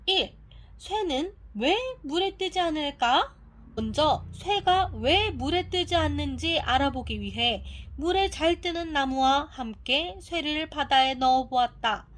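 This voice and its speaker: A woman narrates a children's storybook in a very high voice. She reads slowly and carefully, with an expressive manner. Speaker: audiobook narrator.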